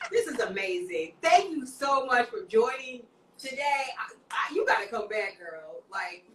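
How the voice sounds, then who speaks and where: Bright, high-ish woman host, tipsy and playful, roomy sound off a distant mic. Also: sing-song, slow pace, pauses often, a little hiss or hum. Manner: playful, drinking wine, slightly slurring. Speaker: podcast host. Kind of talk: casual tipsy chat.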